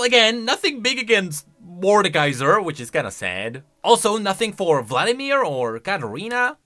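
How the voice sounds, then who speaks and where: A man giving casual, opinionated reaction commentary on video game lore in an animated, conversational way. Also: sits high. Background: faint music.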